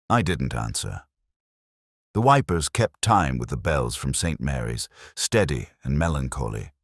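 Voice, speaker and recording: An extremely deep-voiced, brooding detective played by a man tells his case in the first person. The delivery is measured, reflective and somber, with melodic rises and falls, like an audio crime drama. The sound is dry and close. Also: no room sound, exaggerated voice. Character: brooding, melancholy English male police detective narrating his case.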